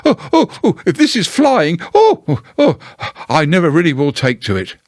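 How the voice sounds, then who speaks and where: A man reading a storybook aloud and voicing a small, timid male piglet with a squeaky, flustered voice. The piglet splutters and cries out in protest. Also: huge pitch swings, moderate pace, no echo, studio quality. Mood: jolted.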